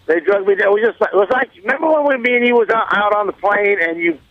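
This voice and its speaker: A man telling a rambling casual anecdote on a radio talk show, halting and repeating himself, with plain folksy grammar. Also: sits high, no echo, muffled recording.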